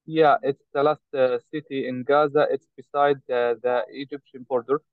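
A man born in Saudi Arabia, speaking haltingly with many hesitations as a guest in an interview. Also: medium pitch, flat delivery, dry recording. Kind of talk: interview over a call. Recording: tinny choppy call line.

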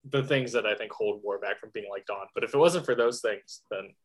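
A man's voice: lowish voice, brisk. A man talking casually about a film on a podcast, rambling and thinking aloud as he goes. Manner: rambling, lots of fillers and restarts, thinks aloud. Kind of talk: casual film review chat.

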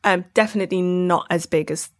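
A woman with a fairly low voice answers as an interview guest. She speaks conversationally, explaining herself in a reflective way and softening her points with hedges. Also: steady tone, fairly quick. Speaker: interview guest, podcast creator.

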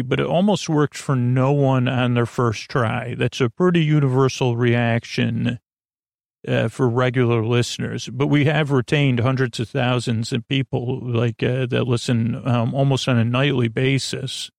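Man, sleep podcast host, gravelly voice, mid-pitched, meandering filler-heavy talk. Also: no room sound, clean studio sound. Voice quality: creaky. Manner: meandering, full of filler words and tangents. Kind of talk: rambling bedtime talk.

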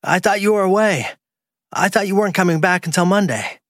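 A man's voice: sits high, brisk, dry recording, clean studio sound, pitched above the performer's own voice, lightly acted. A man playing a trapped, guilty man in an audiobook crime story, fearful and pleading. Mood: confused. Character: Darren, trapped, panicking, secretly guilty man. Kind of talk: audiobook dialogue acting.

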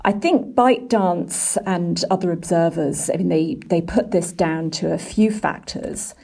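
A woman's voice: lowish voice, a little slow, vocal fry, dark tone, husky. A woman working as a journalist and editor, giving explanatory answers in an interview. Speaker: business and technology editor.

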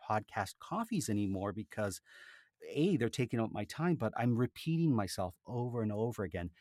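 A man speaking as a podcaster and author, telling things in an animated, conversational way. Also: lowish voice, no room sound, studio quality. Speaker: podcaster and author, interview guest. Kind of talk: casual conversational interview, with a read-aloud book passage.

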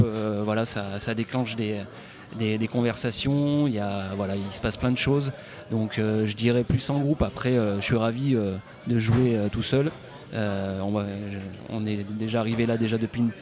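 Male guest musician, halting casual answers, live bar recording with crowd noise. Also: fairly low pitch. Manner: hesitant, many fillers and restarts. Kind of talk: casual interview. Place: folk club bar. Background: crowd chatter.

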